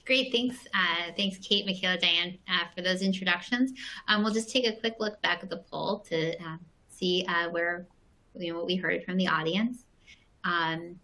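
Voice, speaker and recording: Bright-toned woman moderating a panel. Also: fairly low pitch, rough recording. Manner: easygoing, filler-heavy.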